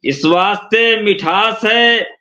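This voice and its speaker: Male poet, bright high voice, slow couplet reading, dull sound. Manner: repeats each line of verse, halting restarts. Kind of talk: reciting couplets.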